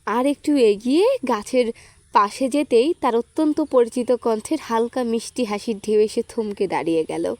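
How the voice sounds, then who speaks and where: A woman narrates a literary story in a distinctly high voice. The recording is dry and close, with her scripted reading at the centre. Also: normal speed, darkish tone, no echo. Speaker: audio story reader.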